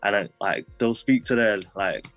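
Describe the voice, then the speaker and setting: A man's voice: lowish voice, moderate pace, fairly bright tone, soft background music. A young man in his twenties telling personal stories casually, rambling with lots of fillers and street slang. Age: 20s.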